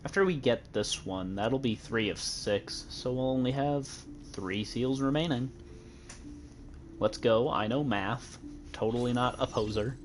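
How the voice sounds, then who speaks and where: A man's voice: medium pitch, measured pace, slight background noise. A young man with a Midwestern American accent giving playful, giggly commentary while streaming a game. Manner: playful, giggly, joking with chat. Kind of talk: casual gameplay commentary.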